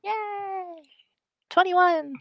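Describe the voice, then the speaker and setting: A woman's voice: extremely high pitch, steady tone, extremely slow, gravelly, no echo, highs cut off. A woman playing a tabletop game, giggling and giving cheery short answers. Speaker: player. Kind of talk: casual play at the table.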